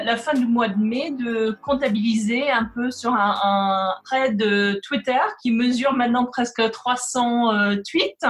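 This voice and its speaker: A woman historian with an American accent, a native English speaker, explaining things hesitantly and searching for words in an interview. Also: a little high. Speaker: academic historian, guest. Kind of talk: unscripted explanatory interview answer.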